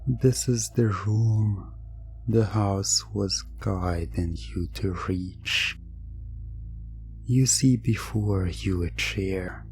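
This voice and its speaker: A man delivering scripted hypnosis roleplay narration in an ASMR style, soothing and suggestive, with long pauses. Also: fairly low pitch, darkish tone, faint music behind.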